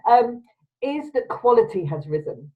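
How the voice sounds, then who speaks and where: A woman, hosting a casual video-call chat, emphatic and quick to laugh. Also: a little high, big pitch swings, narrow, tinny audio. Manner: chatty, laughs often, emphatic. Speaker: podcast host.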